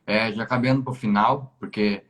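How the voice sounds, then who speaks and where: A Brazilian man hosting a live-stream interview over a video call, asking relaxed questions and giving short replies. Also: on the low side, moderate pace. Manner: relaxed, brief backchannel replies and easy questions. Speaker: interviewer and host.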